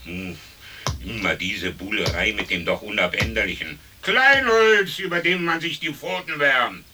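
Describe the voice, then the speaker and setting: An actor playing a contrary, gloomy gentleman in a radio play, with sardonic, drawn-out delivery on an old recording. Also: on the low side, expressive. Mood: scornful. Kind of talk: stereo radio play acting. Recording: distant, faintly noisy old radio recording.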